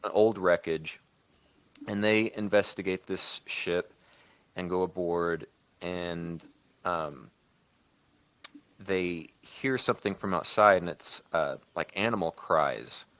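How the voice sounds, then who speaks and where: A man's voice: low-pitched, fairly bright tone, no room sound. A man on a podcast talking casually through a story idea as a co-host, often backtracking and rewording as he goes. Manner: halting, often backtracking and rewording mid-sentence. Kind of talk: casual creative discussion, improvised story pitch.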